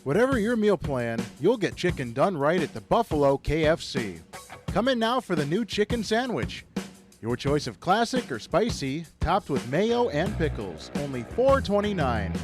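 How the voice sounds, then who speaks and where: A man's voice: a little high, very animated, moderate pace. An American man reading an upbeat radio commercial as an announcer. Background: drum-driven music bed.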